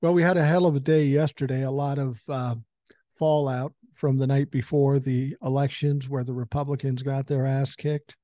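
A man's voice: medium pitch, level tone, normal speed, warm tone, dry recording. Blunt, profane man in his sixties. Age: 60s. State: has a common cold. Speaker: podcast host. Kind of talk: casual political commentary monologue. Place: home room with a couch behind him. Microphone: large foam-covered dynamic mic close to the mouth.

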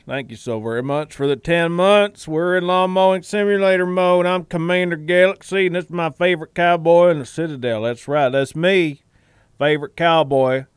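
A man's voice: on the high side, moderate pace, smooth, dry recording, studio quality. A man livestreaming a game in casual, rambling chat, repeating phrases and swearing freely, with a put-on folksy hillbilly drawl.

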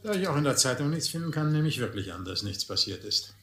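Ed, a wry, animated guardian. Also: male voice, fairly high pitch, quick pace. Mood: ironic. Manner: ironic, mock-stern, articulate. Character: wry, educated adult man, Ed, teasingly stern guardian. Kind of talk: German radio play dialogue. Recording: echoey, slightly noisy audio drama recording.

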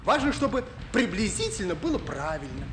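An actor playing a sensible, wry schoolboy and loyal friend in a Soviet children's radio play, questioning and gently ironic. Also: mid-range voice, very animated, fairly quick, slight background noise.

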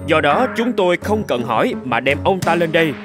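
A man's voice: a little high, big pitch swings, brisk, music in the background. A man voicing a proud, dutiful angel gatekeeper in a fairy-tale cartoon, formal and self-assured. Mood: indignant. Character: proud, dutiful winged male angel guarding heaven's gate.

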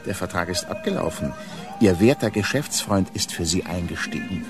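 An actor playing a cynical contract killer in a radio drama, mockingly polite and menacing. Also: male voice, low-pitched, moderate pace, music in the background. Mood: mocking menace. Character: cynical smooth-talking male contract killer in Santa guise. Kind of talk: German radio drama acting.

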